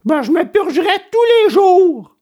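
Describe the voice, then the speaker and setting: A woman's voice: very high-pitched, very animated, measured pace, warm tone, full character voice. A woman playing a stubborn, childlike wooden puppet boy in an audio storybook, whining and exclaiming dramatically. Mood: whiny, stubborn.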